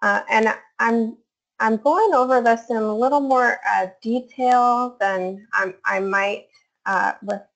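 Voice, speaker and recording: A woman's voice: a little high, fairly even intonation, slow pace, fairly bright tone. A woman researcher giving a webinar presentation, hesitant, with many fillers and restarts. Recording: dull lo-fi webinar audio.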